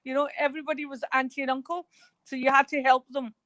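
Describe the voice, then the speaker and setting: A woman's voice: sits high, flat delivery, fast, fairly bright tone, no room sound. A woman talking as a guest in a remote radio interview, rambling and hesitant with many fillers. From Scotland.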